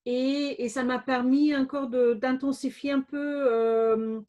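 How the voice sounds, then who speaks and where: A woman's voice: high voice, level tone, takes it slowly, warm tone, dull audio. A middle-aged woman from Germany with a German accent, a guest answering interview questions with many hesitations and restarts. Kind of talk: conversational interview.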